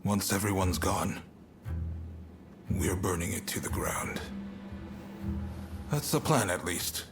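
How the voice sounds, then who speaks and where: An actor playing a resolute, burdened samurai commander in a video game cutscene, speaking in a measured, plain-spoken way with weary resolve. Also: male voice, deep voice, expressive, heavily acted. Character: frank, resolute samurai military commander, burdened but calm. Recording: music behind the voice.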